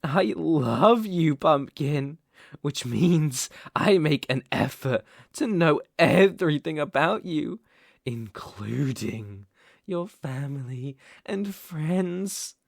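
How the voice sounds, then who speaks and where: A British man playing an obsessive, menacing young boyfriend in an intimate ASMR roleplay, coaxing softly with slow, deliberate emphasis. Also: on the high side, animated, vocal fry, no room sound, studio quality, exaggerated voice. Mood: gloating, threatening. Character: obsessive, possessive, menacing young boyfriend holding partner captive.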